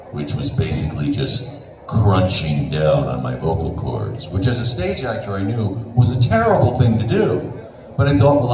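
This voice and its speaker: Panel guest, man in his sixties, lively pitch, roomy hall sound from a distance, noisy. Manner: animated storytelling with laughs and acted-out quotes. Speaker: convention panel guest, voice actor. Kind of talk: casual panel conversation. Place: convention panel room.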